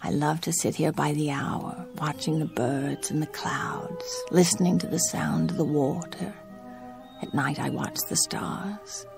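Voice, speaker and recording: A coy, seductive film star. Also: female voice, low-pitched, unhurried, gravelly, over music. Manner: flirtatious, coy. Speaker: actress in a radio drama. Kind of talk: radio drama acting.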